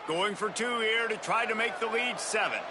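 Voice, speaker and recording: High-pitched American play-by-play football announcer played by a man. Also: moderate pace, noisy background, lightly acted. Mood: focused. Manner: crisp phrasing that rises with the action. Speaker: play-by-play sports commentator. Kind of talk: football video game play-by-play commentary.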